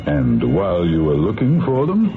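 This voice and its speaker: An actor playing a probing courtroom lawyer questioning deliberately in a classic radio drama. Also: male voice, low-pitched, huge pitch swings, exaggerated voice. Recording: tinny old radio, music behind.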